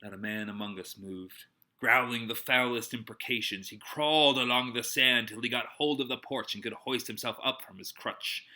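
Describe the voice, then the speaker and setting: A man gives a dramatic amateur audiobook narration of an adventure tale. His voice is very bright and forceful, at a middling pitch. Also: fairly even intonation, normal speed.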